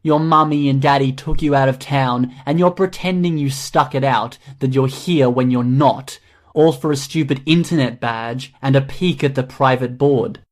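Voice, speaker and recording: A man's voice: medium pitch, moderate pace, close to an everyday voice. A man voicing an angry, scornful internet forum poster in an audiobook, sarcastic and accusing. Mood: angry contempt. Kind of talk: audiobook character acting.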